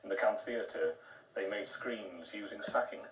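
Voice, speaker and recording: A man appearing as a military historian, explaining in a measured, informative way. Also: on the low side, reverberant, distant mic, background noise. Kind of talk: studio TV discussion.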